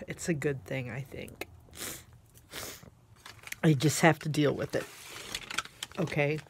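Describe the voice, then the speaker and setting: A woman's voice: deep voice, steady tone, takes it slowly, pauses often, slight background noise. A woman craft vlogger chatting casually and gushing over the items she shows, sounding congested with a snotty nose. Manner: gushing, exclamatory. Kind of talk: casual rambling chat while showing craft items.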